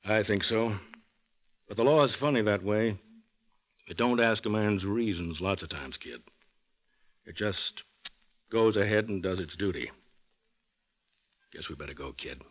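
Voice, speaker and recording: An actor playing a grave but sympathetic small-town sheriff with measured, folksy delivery in an old radio drama. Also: male voice, on the low side. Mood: grave, regretful. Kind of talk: 1950s radio drama acting.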